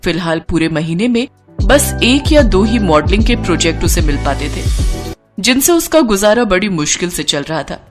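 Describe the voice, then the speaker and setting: A woman's voice: on the low side, big pitch swings, moderate pace, over music. A woman reading scripted story narration as the storyteller.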